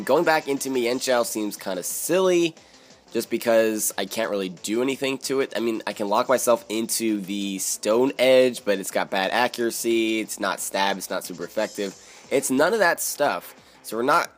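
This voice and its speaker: Casting: hoarse Philly-young man, chatty gaming commentary, faint music bed. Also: lowish voice. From Philadelphia, USA. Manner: chatty, strategizing aloud, frequent fillers. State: hoarse, raspy from yelling. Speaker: gaming YouTuber. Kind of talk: live game commentary.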